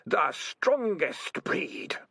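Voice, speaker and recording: A menacing spirit of a rat acted out by a man for a storybook. It speaks very slowly and domineeringly, each word drawn out in a raised, husky voice. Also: high-pitched, very animated, sharp tone, no echo, pitched above the performer's own voice, full character voice. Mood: sinister. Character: sinister, domineering rat spirit voice inside someone's head.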